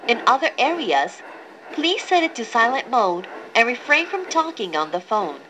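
Slow, formal woman train announcer. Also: on the high side, very animated, fairly bright tone, low-quality recording. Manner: formal, clearly enunciated. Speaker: English-language train announcer. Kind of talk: scripted recorded train announcement. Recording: recorded aboard a train, clear background noise.

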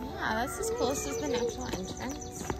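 A woman in her thirties narrating her family's travel vlog in a chatty, lively way, laughing often. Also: sits high, normal speed, over loud music. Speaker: family vlogger, mother.

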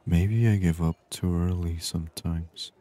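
A man doing soft-spoken ASMR game commentary, murmuring and unhurried as he reads aloud. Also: low-pitched, steady tone. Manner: murmured, unhurried, reading on-screen text aloud. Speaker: gaming channel host.

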